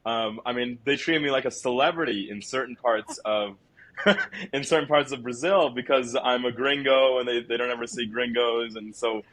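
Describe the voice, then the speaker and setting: A man hosting a podcast, chatting casually with lots of fillers, describing himself as loud. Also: mid-range voice, moderate pace, rough recording. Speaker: podcast host. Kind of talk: casual conversational interview.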